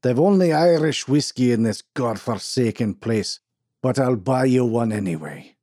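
Man playing a blunt, hearty barroom pal, lively voice, storybook. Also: mid-range voice, normal speed, no echo, clean studio sound, lightly acted. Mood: jovial. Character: jovial hearty older male drinking companion.

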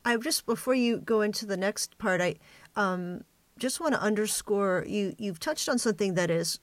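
A woman hosting a reflective interview, speaking earnestly and deliberately, repeating key phrases for emphasis. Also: mid-range voice, dry recording. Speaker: interview host.

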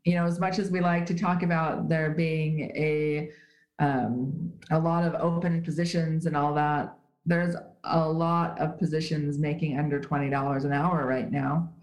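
A woman with a low voice speaks as an interview guest, explaining things emphatically and leaning on everyday examples. Her voice sounds roomy, as if recorded remotely in a live space. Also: fairly even intonation, unhurried, a little mellow. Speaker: guest, HR writer. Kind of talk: conversational interview. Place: at home in Switzerland.

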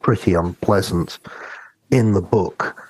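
A man with a lowish, husky voice in a dry, close recording. He speaks as a podcast co-host, measured and reflective, hesitating a little as he puts his thoughts together. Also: a little mellow, no echo, poor audio. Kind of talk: casual discussion of a radio drama.

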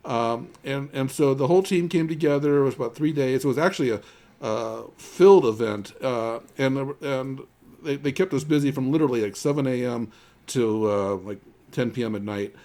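A man's voice: medium pitch, mellow. A man hosting a conversational tech news podcast, often stumbling and repeating the starts of his words. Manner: halting, with repeated word starts. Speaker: podcast host.

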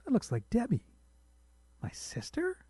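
A man's voice: very high voice, wildly swooping pitch, unhurried, mellow, husky, dry recording, voice pushed up, heavily acted. A man voicing an easily outraged wife who bickers with her husband, exclaiming in disbelief and sometimes hissing in whispers. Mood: incredulous, scolding.

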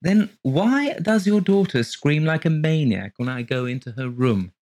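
A man's voice: on the high side, lively intonation, a little slow, darkish tone, voice pushed up. A man doing a chirpy, sinister fat puppeteer. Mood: aggrieved. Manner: chirpy, wheedling, then snappish. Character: fat, pettish, sinister middle-aged male puppeteer. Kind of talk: audiobook character voice.

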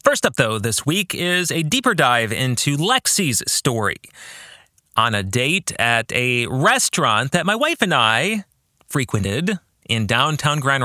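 A man hosting a podcast, reading scripted narration and book-club reflections with emphatic, deliberate phrasing. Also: mid-range voice, sharp tone, dry recording, clean studio sound. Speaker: podcast host and author.

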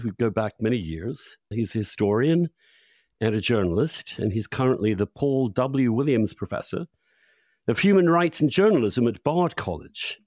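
A man's voice: mid-range voice, a little mellow, no room sound, studio quality. A man hosting a podcast, introducing his guest and asking eager, interrupting follow-up questions. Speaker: podcast host. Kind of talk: conversational interview.

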